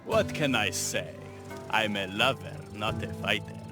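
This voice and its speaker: A man playing a smooth-talking, lovestruck rebel with a dramatic, deliberate manner in a video game cutscene. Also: lowish voice, over music, lightly acted. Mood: lovestruck, charming.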